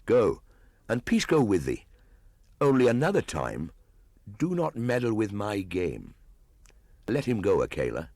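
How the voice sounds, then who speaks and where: Guy doing a proud defiant wolf-raised boy, higher voice, bossy old-timey talk, storybook reading. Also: wildly swooping pitch, measured pace, pauses often, darkish tone, no echo, studio quality, heavily acted. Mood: commanding, dismissive. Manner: archaic, commanding speech. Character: defiant, scornful young boy raised by wolves.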